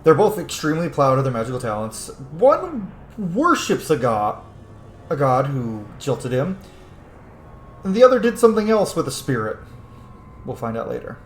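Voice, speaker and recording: A man's voice: a little high, wildly swooping pitch, moderate pace. A man giving casual, opinionated game commentary as he plays, swearing freely. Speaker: gaming streamer playing and commenting. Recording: roomy echo with faint noise.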